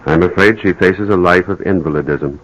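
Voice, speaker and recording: An actor playing a grave, courteous physician in a 1940s radio drama, speaking in a measured, careful and slightly hesitant manner. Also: male voice, low-pitched, muffled recording, natural voice. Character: grave, courteous male physician breaking bad news. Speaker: doctor. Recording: old radio drama broadcast.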